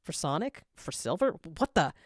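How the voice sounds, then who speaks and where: A woman's voice: deep voice, very animated, moderate pace, breathy edge, no echo, studio quality, full character voice. A woman voicing a proud, brooding male hedgehog hero in an earnest, emphatic manner. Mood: startled.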